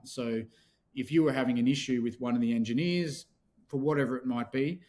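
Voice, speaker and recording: A man being interviewed as a company founder on a podcast, explaining things in a steady, practical way. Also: mid-range voice. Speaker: podcast guest, business founder. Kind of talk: interview conversation.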